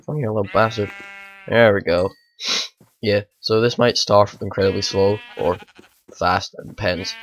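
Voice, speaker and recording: A man, hoarse from a bad cold, rambling through casual let's play commentary at home. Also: on the low side, slight background noise, rough recording. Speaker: gaming channel host.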